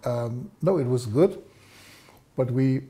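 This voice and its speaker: A man in his sixties explaining technical matters in an interview, speaking in a measured, deliberate way. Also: medium pitch, lively intonation, warm tone. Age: 60s. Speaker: guest, loudspeaker designer. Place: studio set, seated indoors.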